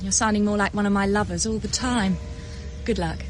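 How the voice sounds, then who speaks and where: A woman's voice: mid-range voice, steady tone, brisk, a little hiss or hum, close to an everyday voice. An actress playing a witty, flirtatious female cellist in a radio drama, with a wry, teasing manner.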